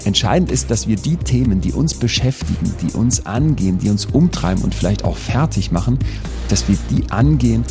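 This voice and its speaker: Low-voiced male host over music. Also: expressive, a little fast. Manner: earnest, emphatic reflection. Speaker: podcast host. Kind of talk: closing narration. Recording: music clearly underneath.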